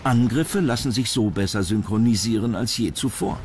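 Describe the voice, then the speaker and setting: A man's voice: on the low side, moderate pace, a little mellow, slight background noise. A man reading scripted narration as the narrator of a countdown documentary.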